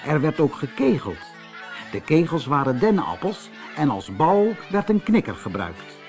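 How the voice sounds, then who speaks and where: A man narrating a fairy tale for children as an audiobook reader, in an expressive storytelling manner. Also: on the high side, moderate pace, soft background music.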